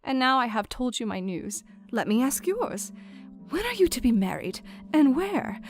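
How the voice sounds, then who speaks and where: A woman playing a chatty, affectionate young wife reading out her period letter in an audio drama, expressive and gently formal. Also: high voice, normal speed. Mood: eager affection. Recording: instrumental music under the voice.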